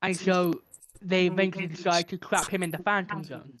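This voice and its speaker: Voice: medium pitch, fairly even intonation, brisk, a bit bright. A young co-host on a casual film podcast, explaining plot at length with many fillers.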